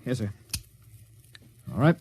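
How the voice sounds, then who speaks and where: A man's voice: slow pace, natural voice. An actor playing an anxious, dutiful spaceship crewman, deferential and hesitant, in 1950s radio drama style. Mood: uneasy, puzzled. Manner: deferential, hesitant, stammering under pressure. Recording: noisy vintage radio recording with clicks.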